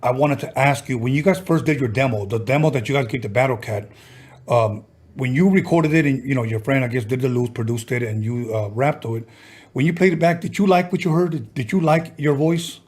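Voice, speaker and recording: A man's voice: medium pitch, brisk, darkish tone, breathy edge. Laid-back man hosting an interview. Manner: laid-back, brief affirmations, rambling questions. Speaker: podcast host. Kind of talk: casual interview.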